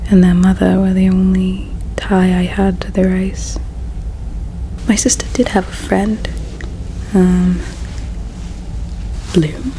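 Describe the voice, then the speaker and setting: A woman performing a whispered ASMR roleplay as a regretful, solemn alien princess, with a breathy, hushed, halting delivery. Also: mid-range voice, a little hiss or hum, low-quality recording. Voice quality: breathy whisper with soft creak. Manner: slow, halting, hushed storytelling.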